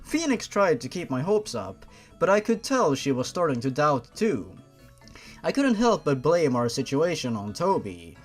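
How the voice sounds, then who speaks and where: A man's voice: on the high side, very animated, measured pace, soft background music. A man hosting a fan fiction reading, narrating expressively and adding sardonic, incredulous asides.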